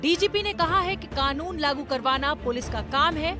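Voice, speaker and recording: A woman's voice: high voice, moderate pace. A woman reporter reading a scripted news narration in Hindi news style. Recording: loud music bed behind voice-over. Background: news music.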